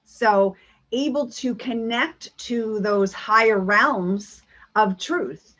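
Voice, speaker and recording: A woman's voice: on the high side, extremely slow, a bit bright, highs cut off. A woman giving an earnest spiritual talk over a video call, with many ums and restarts. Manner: earnest, many fillers, speaks of spiritual energy. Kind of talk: online talk and Q&A.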